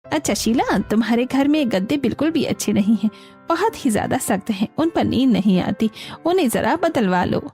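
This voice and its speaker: Woman voicing a bossy, high-pitched elderly houseguest. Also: animated, normal speed, darkish tone, soft background music. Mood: demanding, complaining. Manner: bossy, complaining. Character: demanding elderly female houseguest, scolding and entitled.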